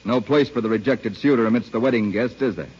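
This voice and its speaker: An actor as brooding jilted suitor, muffled vintage radio. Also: male voice, medium pitch, quick pace, darkish tone, breathy edge, close to an everyday voice. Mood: bitter, insistent. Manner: theatrical, intense, pleading. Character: brooding rejected suitor, desperately jealous and bitter. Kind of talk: 1940s old-time radio drama acting. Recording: muffled old radio recording, music behind.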